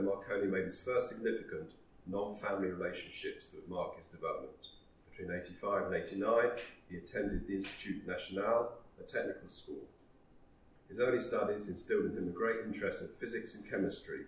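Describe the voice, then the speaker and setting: A man with a very low voice gives a measured history lecture. He sounds set back from the microphone in a roomy, echoing space. A faint hum of room noise sits underneath. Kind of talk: historical talk. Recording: roomy echo, distant mic.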